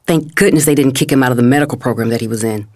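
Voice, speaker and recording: Actress as low-voiced, indignant mother. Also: racing, darkish tone. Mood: indignant, worried. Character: indignant, protective mother of grown children. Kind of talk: first-person dramatized audiobook narration.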